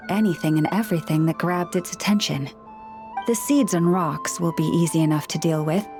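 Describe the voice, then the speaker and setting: A woman's voice: on the low side, natural voice. A woman playing a serene animal-speaking sage. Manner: calm, measured, softly reflective. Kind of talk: video game voice acting.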